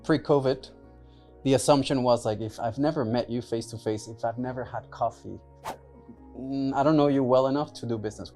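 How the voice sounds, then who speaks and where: A man's voice: mid-range voice, fairly quick, darkish tone, soft background music. A young man from Mexico and the US, whose first language is Spanish, chatting loosely as a podcast guest, full of "like" and "right?" fillers. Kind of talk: casual interview talk.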